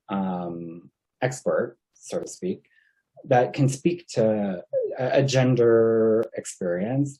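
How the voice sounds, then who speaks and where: A man's voice: lowish voice, warm tone, breathy edge, rough recording. A man speaking on a video-call panel, thoughtful and hesitant, with many fillers. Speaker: workshop panelist. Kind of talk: conversational panel talk. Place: home over video call.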